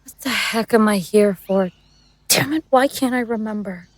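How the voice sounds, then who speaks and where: A woman's voice: medium pitch, moderate pace, no room sound, close to an everyday voice. A woman playing a bitter, betrayed and vengeful woman in a horror audio drama. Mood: irritated, confused.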